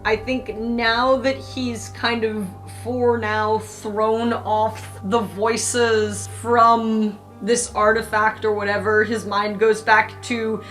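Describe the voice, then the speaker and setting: A woman's voice: on the high side, flat delivery, slow, hardly any pauses, fairly bright tone. A woman player in a tabletop role-playing game, hesitantly thinking aloud as she narrates her character. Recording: roomy, music underneath.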